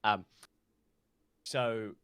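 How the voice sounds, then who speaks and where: A man's voice: on the low side, steady tone, extremely slow, a bit bright, clear, resonant tone, no room sound. A man co-hosting a podcast, casually explaining a video game in hesitant, piecemeal phrases. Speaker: podcast co-host.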